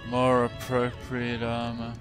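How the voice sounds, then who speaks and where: A man giving casual gameplay commentary, halting as he thinks aloud. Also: medium pitch, completely flat delivery. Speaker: gameplay video host. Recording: loud music behind the voice. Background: game music.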